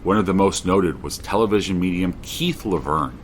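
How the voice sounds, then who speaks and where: A man's voice: deep voice, moderate pace, slight background noise, close to an everyday voice. A man playing a serious, inquisitive true-crime podcast host who narrates and questions persistently. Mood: serious, narrating.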